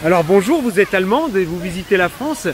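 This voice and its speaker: A woman's voice: medium pitch, animated, fairly quick. A woman interviewing passers-by on a busy street, asking playful questions. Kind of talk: vox pop street interview. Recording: loud crowd behind.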